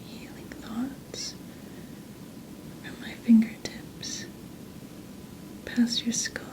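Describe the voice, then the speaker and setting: A woman speaking in a breathy whisper, gently and soothingly, as a medical examiner in an ASMR role-play. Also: a little high, flat delivery, drawn-out words, poor audio.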